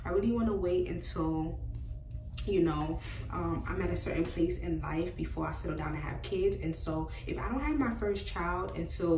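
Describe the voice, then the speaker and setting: A woman in her twenties talking casually to the camera, chatty and animated as she gestures with her hands. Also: on the low side, slight background noise. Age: 20s. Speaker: beauty vlogger. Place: small room indoors by a doorway. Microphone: camera at a distance. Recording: roomy, slightly distant sound.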